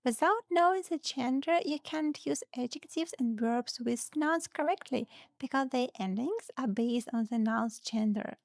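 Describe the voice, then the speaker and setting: A young woman with a Russian accent, teaching grammar in an online lesson. Her voice sits high and leaps around a lot. She keeps talking straight to her viewers in a playful way. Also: measured pace, creaky, hardly any pauses. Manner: lively, playful, talks directly to viewers.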